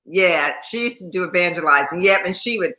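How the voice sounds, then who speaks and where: A woman's voice: on the low side, lively intonation, brisk, bright, forceful tone, echoey room. A woman in her sixties chatting casually on a livestream, repeating herself and laughing often while thanking viewers by name. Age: 60s. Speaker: YouTube host.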